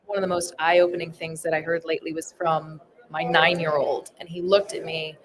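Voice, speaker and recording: A woman's voice: low voice, flat delivery, fairly quick, a crowd in the background. A woman in her thirties, a firefighter, telling a candid personal story in a casual podcast chat. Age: 30s. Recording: noisy live event floor.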